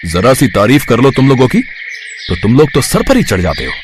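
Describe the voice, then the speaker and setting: A man's voice: mid-range voice, huge pitch swings, fairly quick, background noise. A man playing a rich, haughty village landlord in a moral story, with a domineering manner. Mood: scornful. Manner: scolding, domineering. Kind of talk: dramatised moral story acting.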